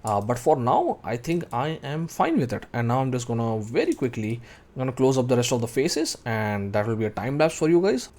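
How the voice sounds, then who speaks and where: A man's voice: medium pitch, big pitch swings, a little fast, darkish tone. Man thinking aloud through shortcuts. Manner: thinking aloud while working, calling out shortcuts. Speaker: 3D modeling tutor. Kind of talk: screen-recorded software tutorial.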